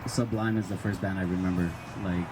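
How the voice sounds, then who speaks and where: A man's voice: sits low, fairly even intonation, a little fast. A man in his early twenties, a band's guitarist and singer, answering hesitantly with many ums in an outdoor interview. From California. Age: 20s. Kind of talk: casual interview chat. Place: outdoors near a freeway. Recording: outdoors with loud freeway traffic.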